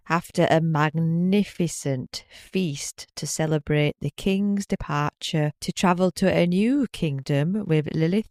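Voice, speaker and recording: A woman's voice: sits low, a little mellow, no echo, studio quality. A middle-aged woman narrating a scripted bedtime story as a podcast co-host.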